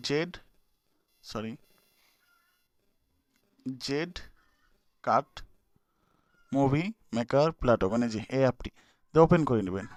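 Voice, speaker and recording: A man's voice: mid-range voice, no room sound. A young man walking viewers through a video app in an informal, hesitant way, with many fillers and restarts. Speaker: YouTube tutorial host. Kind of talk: casual screen-recorded app tutorial.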